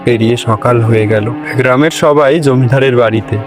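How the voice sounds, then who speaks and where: A man's voice: mid-range voice, moderate pace. A man narrating a scripted folk tale for a cartoon as its storyteller. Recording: loud background music.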